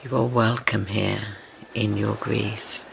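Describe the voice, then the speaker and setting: A man speaks very slowly in a low voice thick with vocal fry. He guides a meditation gently and soothingly, leaving long pauses. Ocean waves wash steadily behind him. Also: flat delivery, background noise. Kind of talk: slow guided meditation, yoga nidra. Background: ocean wave sounds.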